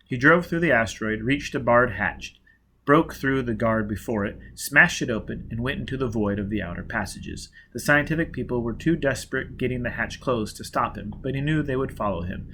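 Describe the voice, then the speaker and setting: Bright-voiced man narrating a novel. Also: mid-range voice, a little fast, a little hiss or hum. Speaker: audiobook narrator. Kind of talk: fiction narration.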